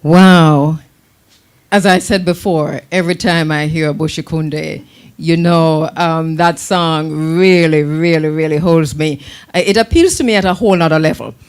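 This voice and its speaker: A woman's voice: sits low, steady tone, a little slow, clean tone. A Jamaican woman hosting a radio talk show, chatty and affirming with her guests. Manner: warm, affirming, chatty interviewer. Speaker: radio host.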